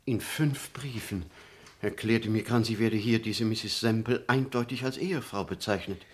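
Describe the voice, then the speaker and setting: An actor playing a middle-aged lawyer in a radio play, precise, dry and measured as he explains things. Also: on the low side, slightly rough. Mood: sober, concerned. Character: sober, precise lawyer, matter-of-fact. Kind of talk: German radio play acting.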